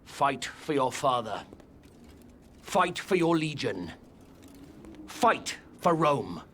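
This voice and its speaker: An actor playing a seasoned, resolute Roman commander in a video game, speaking with grave, emphatic military oratory. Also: male voice, medium pitch, very animated, slow, fairly bright tone. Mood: urgent, solemn. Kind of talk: dramatic video game acting. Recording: clear background noise of game ambience.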